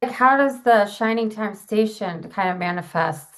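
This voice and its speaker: Deadpan woman bantering casually. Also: mid-range voice, moderate pace. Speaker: comedy show performer.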